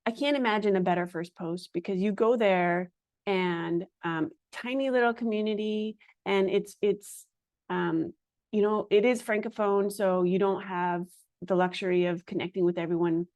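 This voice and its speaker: A woman's voice: medium pitch, fairly even intonation, normal speed, a little mellow, dry recording. A woman podcast host who is a native Hungarian speaker, talking in a casual conversation and rambling with frequent hesitations.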